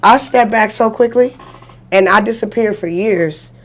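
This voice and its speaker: A woman's voice: mid-range voice, measured pace. Candid, emphatic woman in her forties. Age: 40s. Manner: candid, emphatic storytelling with slang and cursing. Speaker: podcast guest. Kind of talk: casual chat. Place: podcast studio.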